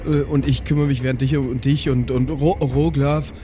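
A man talking casually and haltingly as a player in a tabletop game, thinking aloud. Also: medium pitch, little pitch movement, warm tone, soft background music. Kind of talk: casual improvised table talk. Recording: clear background noise.

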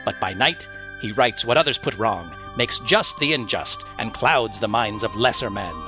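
An actor playing the melodramatic announcer of a pulp mystery serial, portentous and theatrical in old-time radio style. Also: male voice, on the low side, expressive, moderate pace, over music, close to an everyday voice, low-quality recording.

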